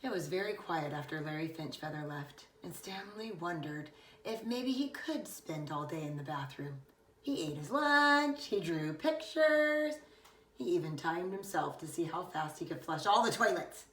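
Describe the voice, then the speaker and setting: Expressive middle-aged woman reading aloud, echoey room. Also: fairly low pitch. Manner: expressive, deliberate storytelling with emphasized words. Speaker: preschool teacher. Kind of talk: children's picture book read-aloud. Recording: echoey room, distant microphone.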